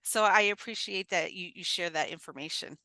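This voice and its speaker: A woman hosting an interview in a casual, animated way, exclaiming and laughing often. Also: on the low side, a little fast, no echo. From United States, Uruguayan family. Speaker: host.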